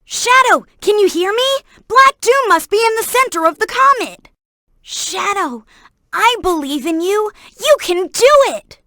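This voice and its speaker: Very high, bright cartoon boy fox. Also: expressive, a little slow, no room sound, full character voice. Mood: urgent, encouraging. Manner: earnest, clearly enunciated, childlike. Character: young boy fox sidekick, earnest, helpful, excitable. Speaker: video game character giving hints. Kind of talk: game voice acting, tutorial hints and alerts.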